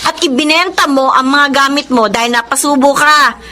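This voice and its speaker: High, sharp-voiced scolding fiancée. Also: female voice, moderate pace. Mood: accusing. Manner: accusing, indignant. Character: scolding, fed-up young fiancée, firm and indignant. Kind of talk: Filipino radio drama acting.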